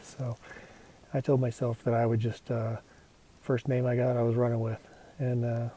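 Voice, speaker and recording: A man's voice: mid-range voice, level tone, moderate pace, warm tone, low-quality recording. A man with a Texas accent talking casually to camera while out on a mountain trail, rambling with lots of fillers. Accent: Texan. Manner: rambling, easygoing, with frequent fillers. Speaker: thru-hiker vlogging his hike. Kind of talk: casual vlog narration.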